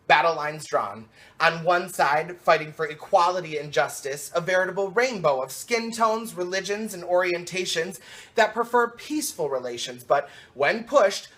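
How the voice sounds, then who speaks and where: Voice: mid-range voice, a bit bright. A slam poet performing rhymed spoken word with an emphatic, dramatic and rhythmic delivery.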